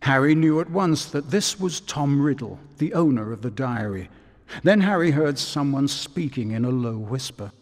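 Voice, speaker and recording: Casting: male game narrator, mid-pitched, deliberate dramatic storytelling, reverberant recording. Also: lively intonation.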